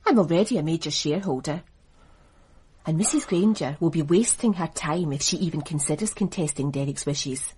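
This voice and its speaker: Calculating businesswoman, husky and low, cool and teasing. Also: a little fast, a little mellow. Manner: cool, guarded, seductive. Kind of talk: radio drama acting.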